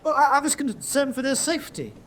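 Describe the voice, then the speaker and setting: An anxious naval first officer in a radio drama. His voice is high for a man and he stammers defensively, hesitating as he answers. Faint noise lies behind him. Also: very animated, fairly quick, fairly bright tone, exaggerated voice. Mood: nervous, cowed. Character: nervous, cowed young U-boat first officer. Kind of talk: radio drama courtroom acting.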